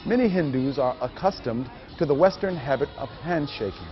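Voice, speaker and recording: A man's voice: fairly high pitch, animated, moderate pace. A man reading scripted narration for a training film. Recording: muffled audio with music behind. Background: music.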